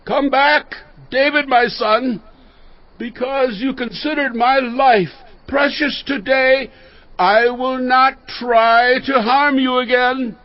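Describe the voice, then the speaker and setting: An actor playing an old, remorseful king in a dramatized audio Bible, calling out in slow, drawn-out words. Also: very high voice, fairly even intonation, no echo, lo-fi sound. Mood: loud remorse.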